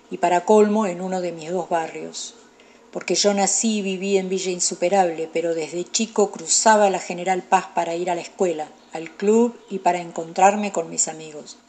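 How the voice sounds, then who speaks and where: A man's voice: high-pitched, steady tone, moderate pace, dark tone. An actor playing a remorseful male police officer with an Argentine accent, in a radio drama monologue. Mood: sombre, confessional. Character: remorseful male federal police officer confessing about a past repression.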